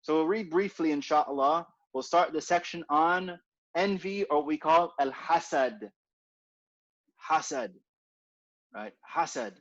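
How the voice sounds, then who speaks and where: High-voiced man teaching a religious class. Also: a little slow, pauses often. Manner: conversational, repeats points, frequent tag "right?". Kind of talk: informal religious class reading. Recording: dull lo-fi recording.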